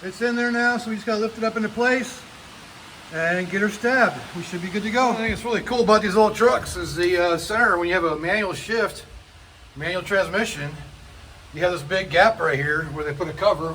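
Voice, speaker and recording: A man working in a metal shop, explaining each repair step aloud in a casual, rambling way with lots of fillers and speaking up loudly. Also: sits high, fairly quick, slight background noise, rough recording. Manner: speaks up loudly over the rain. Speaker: mechanic and channel host. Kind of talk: casual garage how-to narration. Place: metal shop building during rain. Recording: loud rain drumming on a metal roof.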